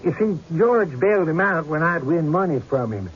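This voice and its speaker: Actor as a high-voiced, hesitant country gambler. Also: male voice, expressive, normal speed, close to an everyday voice. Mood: evasive. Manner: hesitant, stuttering. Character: stammering, shifty country gambler and witness. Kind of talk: old-time radio drama acting. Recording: dull, lightly noisy radio recording.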